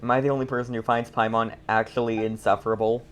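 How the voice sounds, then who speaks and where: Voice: mid-range voice, level tone, fast. A streamer giving casual, rambling, playful commentary during a game playthrough.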